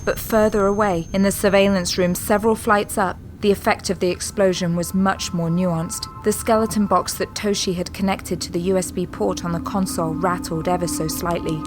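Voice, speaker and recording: A woman's voice: mid-range voice, fairly even intonation, normal speed, hardly any pauses. A woman reading scripted, dramatic narration for a sci-fi audio drama. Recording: loud music under narration.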